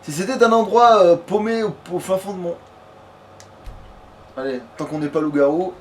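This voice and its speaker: A man with a fairly high voice chats his way through a video game. He is informal and sweary, often muttering to himself as he plays. There is clear noise behind him. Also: moderate pace, a little mellow. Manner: casual, sweary, muttering to himself while playing. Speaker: let's player. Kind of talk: casual gaming commentary. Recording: clearly noisy background.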